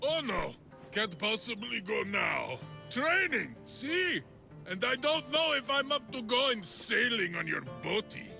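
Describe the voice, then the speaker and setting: A man voicing a boastful, childish, dim-witted muscle-bound champion for a game, with a gravelly, strained voice and a loud, whiny, bragging manner. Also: very high voice, sing-song, slow, soft background music, exaggerated voice. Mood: whiny, petulant.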